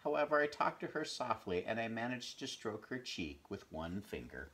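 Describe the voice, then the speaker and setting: An older man reads a storybook aloud in a measured, expressive way, recorded at home in a small room. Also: medium pitch. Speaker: storybook reader. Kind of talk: read-aloud storybook narration. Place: small home bedroom, indoors.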